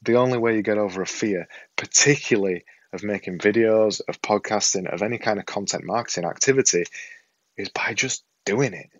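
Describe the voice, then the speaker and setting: A man with a Yorkshire accent speaks straight to the camera in a small home room, earnest and self-deprecating, circling back over his own words. His voice sits a little low and sounds close and dry, with a slightly dull, muffled edge to the recording. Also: brisk, no room sound. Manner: earnest, self-deprecating, repeats himself for emphasis. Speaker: vlogger and podcaster. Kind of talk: casual talk to camera. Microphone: desktop setup with a camera and a monitor.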